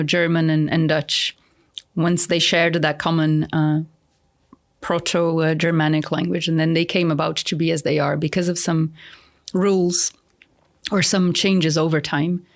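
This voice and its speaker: A woman's voice: lowish voice, little pitch movement, unhurried. Woman explaining language patiently. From Brazil. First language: Portuguese. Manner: affirming, explains patiently, many yeahs. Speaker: guest language scientist. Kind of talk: casual explanatory conversation.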